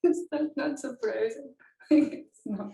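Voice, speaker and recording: A woman's voice: high-pitched, takes it slowly, gravelly, warm tone. A woman researcher answering interview questions casually, chatty and thinking aloud with frequent laughs. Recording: echoey room, distant from the mic.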